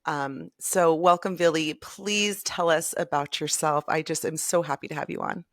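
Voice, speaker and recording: A woman's voice: sits low, moderate pace, dry recording. A woman hosting a podcast, effusive and encouraging as she welcomes and praises her guest. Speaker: podcast host. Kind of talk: podcast interview.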